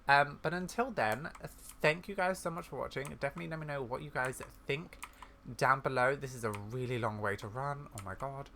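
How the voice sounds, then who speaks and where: Bright, chatty man over background noise. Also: medium pitch, brisk. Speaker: gaming video host. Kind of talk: casual gameplay commentary.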